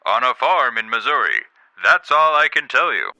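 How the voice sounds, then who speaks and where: A man playing a pompous, stern president with dry, deadpan insults in a comedy radio play. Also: medium pitch, moderate pace, dry recording, heavily acted, low-quality recording. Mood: brisk, smug. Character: pompous, stern president giving a secret mission, dryly insulting.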